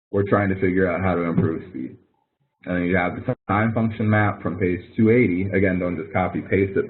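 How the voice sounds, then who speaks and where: A man instructor talking students through an assignment in a casual, explanatory webinar style, thinking aloud as he demonstrates. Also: deep voice. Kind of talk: webinar walkthrough. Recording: phone-like narrow audio from a webinar.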